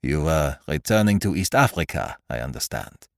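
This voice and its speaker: A man voicing a genteel, shrewd foreign detective in an audiobook, speaking formally and deliberately with the odd French phrase. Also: extremely low pitch, animated, creaky, no room sound, studio quality, exaggerated voice. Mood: polite, probing. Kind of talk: audiobook character voice.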